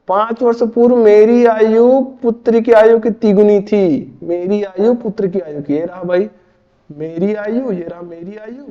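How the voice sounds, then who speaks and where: A man's voice: high-pitched, dull audio. A man in his twenties from Chhattisgarh, India, casually teaching maths step by step, often asking and answering his own questions. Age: 20s. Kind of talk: casual tutorial explaining board exam maths.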